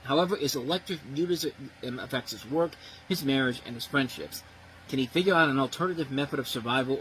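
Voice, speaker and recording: A man's voice: medium pitch, a bit bright, a little hiss or hum, rough recording. Exasperated man ranting about a movie. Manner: blunt, profane, exasperated. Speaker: film reviewer.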